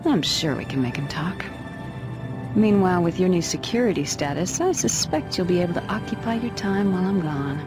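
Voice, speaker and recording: An actress playing a cool female agent in a video game cutscene, speaking with measured, teasing authority. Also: lowish voice, expressive, over music, natural voice. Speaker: game character.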